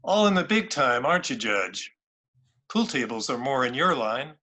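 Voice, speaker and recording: A man's voice: medium pitch, sing-song, moderate pace, sharp tone. A man playing a tough, sarcastic police lieutenant, commanding and mocking, in an amateur radio play. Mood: bullying. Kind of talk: amateur old-time radio play acting.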